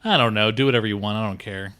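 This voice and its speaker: A man's voice: on the low side, racing, pushed and bright. A man producing a podcast, chatting in a distracted, offhand way with lots of "like". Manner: distracted, offhand, says "like" a lot. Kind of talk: casual chat.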